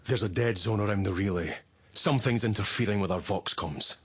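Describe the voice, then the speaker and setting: An actor playing a stern, battle-hardened Space Marine commander in a video game, giving terse, authoritative orders in a gritty voice. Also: male voice, on the low side, a little fast, husky. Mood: grave, commanding. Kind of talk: dramatic video game voice acting, mission briefing.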